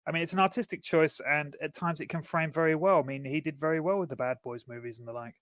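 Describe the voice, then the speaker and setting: A man's voice: on the high side, fairly even intonation, quick pace, fairly bright tone, no echo. A man co-hosting a podcast in casual chat, thoughtful and fairly fluent. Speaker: podcast co-host.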